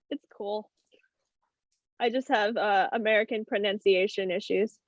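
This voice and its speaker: A woman's voice: medium pitch, very animated, slow pace, no echo. A woman hosting a show, chatting casually and laughing easily with her guest on a video call. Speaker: show host. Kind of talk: casual chat. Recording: dull, dry video call audio.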